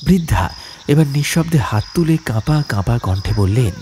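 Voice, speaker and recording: Expressive male horror storyteller, lively pitch. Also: normal speed, slight background noise. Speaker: audiobook narrator. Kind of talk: dramatic horror story narration.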